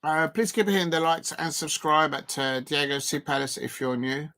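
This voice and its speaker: A man from Colombia hosting a live football watch-along, talking casually over the match with many fillers and restarts and sudden excited outbursts. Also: on the high side, normal speed.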